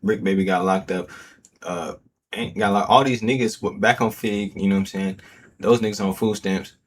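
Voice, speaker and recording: A man with a fairly low voice, talking loose and slangy as he reacts to a clip. He leans on fillers, and faint background noise sits under him. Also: steady tone, moderate pace. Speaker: host. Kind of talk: casual reaction commentary.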